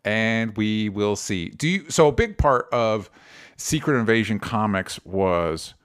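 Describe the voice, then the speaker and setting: A young man co-hosting a casual pop-culture podcast, talking in an animated, rambling way with lots of restarts. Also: fairly low pitch, a little slow, smooth. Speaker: podcast co-host.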